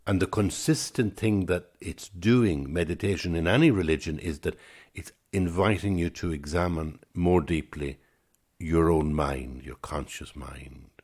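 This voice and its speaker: Very deep, husky man musing. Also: a little slow, darkish tone. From Ireland. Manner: musing, thoughtful, repeats words as he gathers thoughts. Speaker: podcast host. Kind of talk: reflective solo podcast talk.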